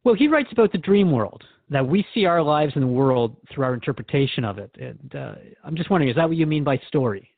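A man's voice: a little high, lively intonation, quick pace, creaky, few pauses, no room sound, narrow, tinny audio. A man hosting a radio interview, conversational and inquisitive, thinking aloud as he asks. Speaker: radio show host interviewer.

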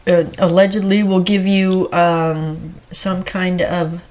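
Female podcast host, a bit low-pitched, stuffy from a cold, casual and rambling with plenty of ums and uhs. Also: flat delivery, takes it slowly, a little mellow. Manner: chatty, rambling with frequent fillers. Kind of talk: casual solo podcast chat.

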